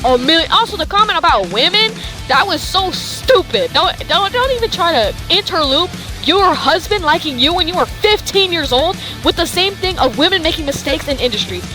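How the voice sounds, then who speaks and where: A woman's voice: very high voice, sing-song, normal speed. A woman giving a blunt, off-the-cuff rant, exasperated and emphatic, repeating herself for force. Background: background music.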